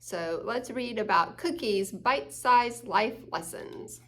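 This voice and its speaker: A woman's voice: on the high side, expressive, slow, pushed and bright, slight background noise. A woman hosting a children's story time, reading a picture book aloud and explaining it with questions to the young listeners.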